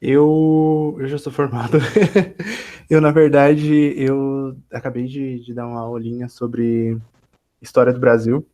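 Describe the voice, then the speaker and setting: A man's voice: medium pitch, steady tone, slow pace, mellow. A man introducing himself on a video call, hesitant and repeating words as he goes. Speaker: guest teacher.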